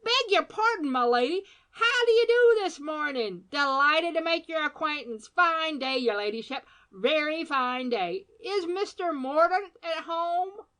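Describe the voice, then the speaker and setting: A woman doing a brash, chirpy old-fashioned gentleman. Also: high voice, animated, measured pace, hardly any pauses, pitched above the performer's own voice. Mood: jaunty. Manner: clipped, chirpy phrases dropping their subjects. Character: brash chatty middle-aged gentleman, jaunty and nosy. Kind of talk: audiobook character voice.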